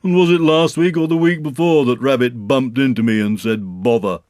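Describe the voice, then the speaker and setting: A man voicing a gloomy, self-important donkey in a storybook reading, speaking in a ponderous, drawn-out way. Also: mid-range voice, darkish tone, no echo, clean studio sound, voice pushed up, natural voice. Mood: gloomy, resentful. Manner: ponderous, pompous, drawn-out delivery. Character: gloomy, self-important, long-suffering old grey donkey.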